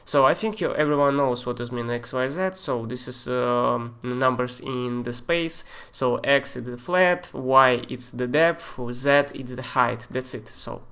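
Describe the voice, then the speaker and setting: A man with a Russian accent walks viewers through software on a live stream. He talks in a halting way, with fillers and loose non-native grammar, and his pitch sits in an ordinary middle range. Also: clean tone. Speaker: software tutor. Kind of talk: live-streamed screen tutorial.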